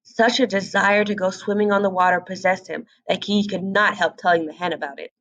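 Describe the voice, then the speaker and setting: A woman reading a fairy tale aloud as an expressive storybook narrator. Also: mid-range voice, brisk, lo-fi sound.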